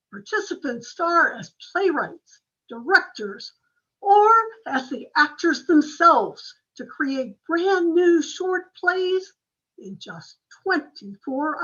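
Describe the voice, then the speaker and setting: A woman's voice: high voice, a bit bright. A woman reading scripted promotional announcements in the manner of an old-time radio announcer. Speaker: theater announcer. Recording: slightly dull, lo-fi sound.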